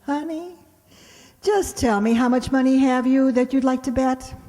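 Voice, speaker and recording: Level-headed, dryly patient young roommate, played by a woman, high voice, vintage radio comedy, echoing hall. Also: normal speed, dark tone, lightly acted. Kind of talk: old-time radio comedy acting.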